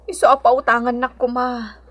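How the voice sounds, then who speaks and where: A woman's voice: fairly high pitch, flat delivery, normal speed, lightly acted. A woman playing a worried, pleading mother with an Ilocano accent in a radio drama, with emotional delivery. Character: pleading, worried mother asking neighbour for a loan. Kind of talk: Filipino radio drama acting. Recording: radio drama with clear music underneath.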